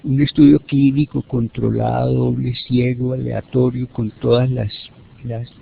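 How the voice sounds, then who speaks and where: A Colombian man delivers a halting, didactic lecture. His voice has a gravelly creak to it. The sound is thin and narrow, like a telephone connection. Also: medium pitch, level tone, slight background noise. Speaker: medical lecturer. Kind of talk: teleconference lecture, explanatory. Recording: narrow, phone-like teleconference audio.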